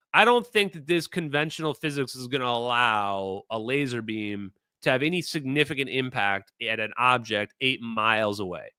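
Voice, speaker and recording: A man's voice: medium pitch, sharp tone, clear, resonant tone, no echo, clean studio sound. A man from Minnesota with a Minnesota accent, hosting a livestream and talking casually, rambling with fillers and repeated phrases. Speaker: streamer host.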